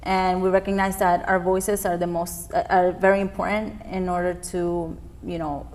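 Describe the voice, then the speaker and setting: A woman's voice: on the low side, flat delivery, normal speed, a little mellow. A young woman from Peru, a youth immigrant activist, giving earnest interview answers with frequent ums. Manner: earnest, many fillers.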